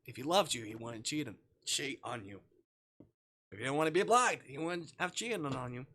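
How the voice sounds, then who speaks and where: A man hosting a game livestream with a bright, forward voice and very animated pitch. He reads visual novel text aloud and mixes it with playful chat. His delivery is expressive and jokey. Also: normal speed, a little hiss or hum. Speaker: live streamer playing a visual novel.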